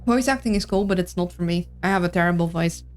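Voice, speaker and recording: A woman's voice: lowish voice, fast. A woman with a Dutch accent giving casual gameplay commentary, rambling and thinking aloud. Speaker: game streamer. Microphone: streaming mic with voice filters. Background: game music.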